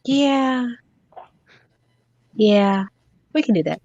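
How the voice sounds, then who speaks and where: A woman with a slow, unhurried delivery, easygoing and a bit hesitant as she chats on a stream. Her voice sounds dry and close. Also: a little high, lively intonation, a little mellow, no echo, dull audio. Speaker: tattoo artist guest. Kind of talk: casual chat on a livestream.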